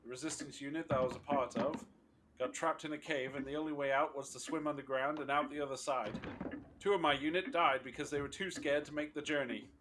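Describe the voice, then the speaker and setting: An actor playing a hardened, cynical resistance fighter with a curt, dismissive manner in an audio drama. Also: male voice, fairly high pitch, fairly even intonation, brisk, noisy background, lightly acted. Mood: gruff reminiscing.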